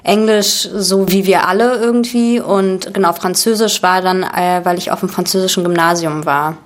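A woman in her twenties from Germany talks in a relaxed, chatty way. Her voice sits a little low. She often restarts her sentences as she talks through an interview. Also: normal speed. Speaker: actress and guest. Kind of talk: casual chat.

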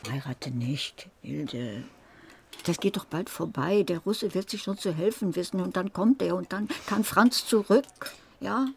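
A woman playing a bitter, fearful middle-aged mother in a radio drama, grave and pleading, with a husky voice. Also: lowish voice, unhurried, a little hiss or hum.

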